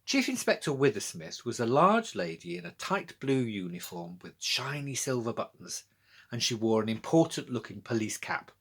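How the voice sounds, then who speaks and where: Husky British man reading a children's story. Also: mid-range voice, sing-song, moderate pace. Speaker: author-narrator. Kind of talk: scripted children's storybook narration.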